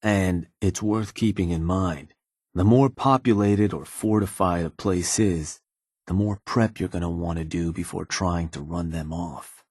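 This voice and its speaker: A cynical gang advisor from a video game, speaks in a very deep, husky voice. He is laid-back and slangy, with sly, folksy menace as he addresses his boss. The sound is close and dry. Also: steady tone, a little slow, a little mellow, no room sound. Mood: casual, menacing. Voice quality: husky, low. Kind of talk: video game character dialogue.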